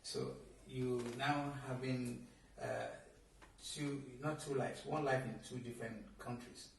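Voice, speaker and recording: A man's voice: medium pitch, steady tone, unhurried. A man from Nigeria hosting a TV interview, asking rambling, hesitant questions full of restarts. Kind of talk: conversational interview. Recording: echoey room, mic at a distance, faint noise.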